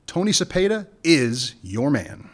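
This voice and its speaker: Casting: a man as a wry gun runner, fairly high voice, lively swings in pitch. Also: unhurried. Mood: scheming. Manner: wry, bargaining, dry jokes. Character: smooth-talking male gun runner. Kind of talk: radio play acting.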